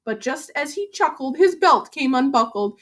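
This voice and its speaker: A woman reading a rhyming storybook aloud to children as a teacher, with an expressive, rhythmic delivery. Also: high-pitched, normal speed. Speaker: elementary school reading teacher.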